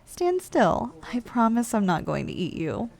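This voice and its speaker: A woman's voice: a little high, huge pitch swings, measured pace, gravelly, a little mellow, heavily acted. A woman voicing a playful, lazy giantess office worker in an intimate ASMR roleplay, coaxing and casually condescending as she speaks straight to the listener. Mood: bossy, teasing.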